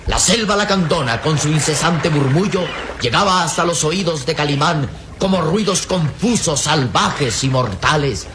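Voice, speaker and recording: A man narrating an adventure radio serial in a dramatic, measured way. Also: on the high side, background noise.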